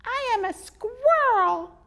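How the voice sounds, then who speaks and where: A woman voicing an angry little male squirrel with a squeaky voice. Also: lively intonation, slow pace, exaggerated voice. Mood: shouting. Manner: indignant, shouting.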